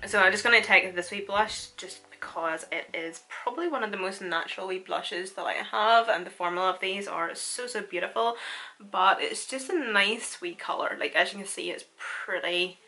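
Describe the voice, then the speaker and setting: A woman's voice: mid-range voice, moderate pace, pushed and bright, low-quality recording. A young woman with a Northern Irish accent, chatting casually through a makeup tutorial and laughing often. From Northern Ireland. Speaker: beauty vlogger.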